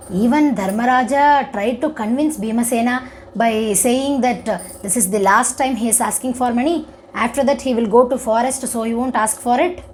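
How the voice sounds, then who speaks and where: Expressive high-voiced Indian woman storyteller. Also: slight background noise, rough recording. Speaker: storyteller giving religious discourse. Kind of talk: devotional moral storytelling.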